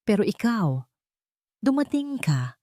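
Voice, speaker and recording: A woman's voice: mid-range voice, big pitch swings, slow pace, dark tone, no echo, voice pushed up. A woman voicing an earnest, loving businessman in a romance story, serious and emotional. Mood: serious, tender. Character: earnest, loving young businessman proposing marriage.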